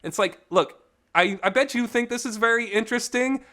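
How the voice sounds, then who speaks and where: A man's voice: very high-pitched, moderate pace, sharp tone. A man hosting a casual, unscripted podcast-style review, speaking colloquially and emphatically with some mild swearing, in a reverberant makeshift home recording. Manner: colloquial, mildly profane, emphatic. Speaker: host of a visual novel review channel.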